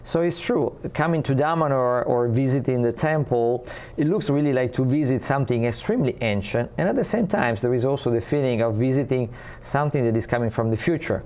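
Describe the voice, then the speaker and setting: A man from Italy with an Italian accent, a guest in an interview, speaking earnestly and often pausing to find his words. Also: mid-range voice, darkish tone. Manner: earnest, searching for words with many pauses. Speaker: guest, spiritual community ambassador.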